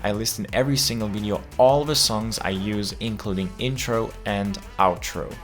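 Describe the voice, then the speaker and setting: Man, lowish voice, chatty travel vlog narration, faint music behind. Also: normal speed, a bit bright. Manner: chatty, explanatory, playful asides. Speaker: travel vlogger.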